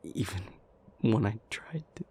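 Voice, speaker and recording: A man playing an intense, anguished demon in an intimate audio roleplay, speaking in breathy, halting, deliberate phrases. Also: lowish voice, lively intonation, mellow, full character voice. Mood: anguished, indignant. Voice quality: breathy, mostly whispered. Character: intense, anguished male demon, brooding and reasoning aloud.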